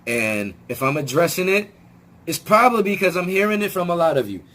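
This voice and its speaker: A man talking straight to his viewers in a rambling self-help vlog, often restarting words and swearing casually, his voice still hoarse as it comes back. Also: high voice, sing-song, fairly quick, fairly bright tone. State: hoarse, regaining lost voice. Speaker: video channel host.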